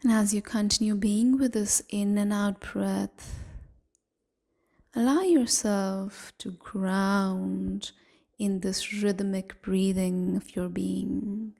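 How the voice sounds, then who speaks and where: A woman leading a guided meditation in a gentle, soothing, half-whispered voice, leaving long pauses between phrases. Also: medium pitch, steady tone, gravelly. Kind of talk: guided evening body scan meditation.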